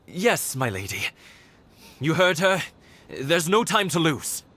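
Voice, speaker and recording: A man's voice: a little high, sing-song, takes it slowly, a bit bright, slight background noise, full character voice. A man playing a wary guard in a video game, formally respectful but doubtful. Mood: resigned, brisk. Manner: formal, hesitant objections.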